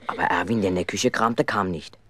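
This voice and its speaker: A man's voice: low voice, brisk, a bit bright, a little hiss or hum. A man narrating a children's radio play as a mischievous, aggrieved schoolboy telling his own story. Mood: recounting.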